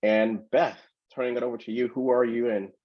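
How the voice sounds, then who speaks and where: A man's voice: medium pitch, fairly even intonation, a little fast. A man hosting a virtual conference session, welcoming the audience and talking in an encouraging way with many fillers. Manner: warm, encouraging, lots of fillers. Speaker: host and workshop presenter. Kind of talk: welcoming conference webinar talk. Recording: dull lo-fi video call audio.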